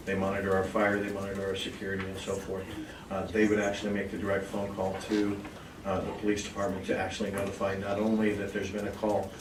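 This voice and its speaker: A man with a low voice answers questions formally at a public meeting. He explains in a deferential, official way. The recording is echoey, distant and clearly noisy. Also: monotone, fast talker. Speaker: school district staff member presenting a proposal. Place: indoor meeting room.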